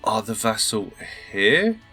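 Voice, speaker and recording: Guy with a low voice narrating his strategy game, bit under the weather, faint music behind. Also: big pitch swings, slow pace, pushed and bright, husky. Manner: polite, formal greetings, thinking aloud. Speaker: gaming channel host. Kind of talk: casual let's-play commentary.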